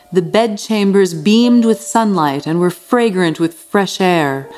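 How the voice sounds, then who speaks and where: An actress playing a deranged, proud, fastidious housekeeper in a gothic radio drama, theatrical and insistent. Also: female voice, on the low side, a little mellow, close to an everyday voice. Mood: proud, confident. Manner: theatrical, insistent, unravelling into agitation. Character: deranged, proud, fastidious housekeeper who has killed an old man. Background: music under the voice.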